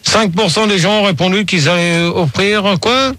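A man's voice: sits high, moderate pace, noisy background. A man hosting a comic radio show, reading out absurd poll results in a mock-serious, crude manner. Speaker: radio host.

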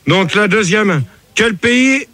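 A man hosting a mock radio debate, bossy and quick to throw guests out. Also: high voice, lively intonation, sharp tone, dry recording. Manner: bossy, barks dismissals at guests. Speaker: host of a mock debate show.

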